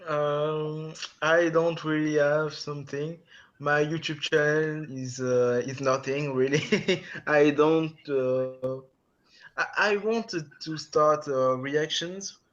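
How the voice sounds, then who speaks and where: A man whose first language is French, speaking as a podcast guest in a slightly higher voice. He talks very slowly through a dull, lo-fi mic, hesitating and searching for words. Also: steady tone. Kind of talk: casual podcast chat.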